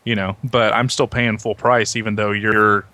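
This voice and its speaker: A man's voice: lowish voice, flat delivery, moderate pace, sharp tone, dry recording. A man in a casual conversation, speaking as a host on a video game podcast. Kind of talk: casual chat.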